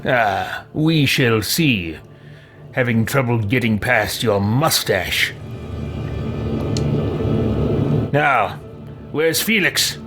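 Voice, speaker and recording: A man's voice: medium pitch, expressive, slow pace, over music, voice pushed down. Man putting on a pompous, irritable wizard. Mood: haughty, suspicious. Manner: pompous, imperious. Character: haughty, irritable, grandiose wizard man who threatens and commands. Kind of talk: improvised roleplay over video game.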